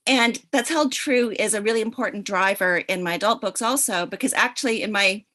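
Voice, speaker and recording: A woman with an American accent speaking as a panelist over a video call, enthusiastic and quick to laugh. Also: medium pitch. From United States. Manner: warm, enthusiastic, laughs often. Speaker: panelist, author. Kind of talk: panel discussion.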